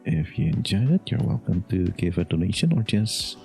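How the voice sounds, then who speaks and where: A man's voice: deep voice, big pitch swings, normal speed, warm tone, faint music behind. A man who makes audio roleplays, speaking casually and directly to his listeners in an outro.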